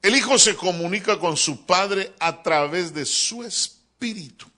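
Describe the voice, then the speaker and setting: A male pastor delivering a sermon in a church, talking to his congregation in an animated, joking way. His voice sits a little high and moves constantly up and down. It sounds bright and forceful throughout. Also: unhurried. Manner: animated, joking, keeps calling listeners "hermano".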